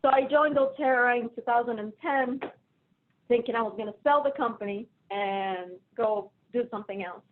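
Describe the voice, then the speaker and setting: A woman's voice: fairly high pitch, moderate pace. A woman from Colombia with a Colombian Spanish accent, speaking as a guest in a casual remote interview, chatty and self-deprecating. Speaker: guest, finance executive. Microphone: video call. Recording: phone-like narrow audio over video call.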